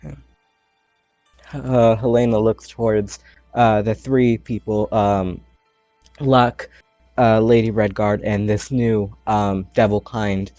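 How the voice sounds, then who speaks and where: A man playing a tabletop game over a voice call, talking casually and haltingly. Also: fairly low pitch, fairly even intonation, dark tone, dry recording. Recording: dull, dry voice-call audio. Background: faint music.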